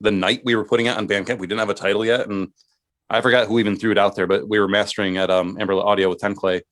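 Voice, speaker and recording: A man with a lowish voice talks casually as a musician being interviewed. He recounts things in a loose, conversational way. The sound is very dry, with no room around it. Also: flat delivery, rapid-fire, a bit bright, dry recording. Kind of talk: casual chat, recounting band history.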